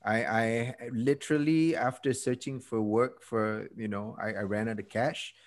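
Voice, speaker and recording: A man's voice: medium pitch, fairly even intonation, measured pace. A Malaysian man in his fifties, a podcast guest, telling his story in a casual way, laughing at himself and often trailing off. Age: 50s. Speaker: podcast guest, TED speaker. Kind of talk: conversational storytelling.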